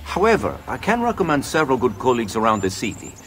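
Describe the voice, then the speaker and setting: An actor playing a matter-of-fact Renaissance doctor in a video game cutscene. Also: male voice, medium pitch, very animated, fast talker, fairly bright tone. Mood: clinical.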